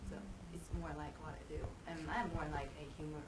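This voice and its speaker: A soft-spoken woman shares how she runs her children's class, her pitch sitting a touch low. She often restarts her phrases. She sounds set back from the mic, with clear background noise. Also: fast talker, distant mic. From Taiwan. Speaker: children's Bible class teacher. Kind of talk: casual group discussion.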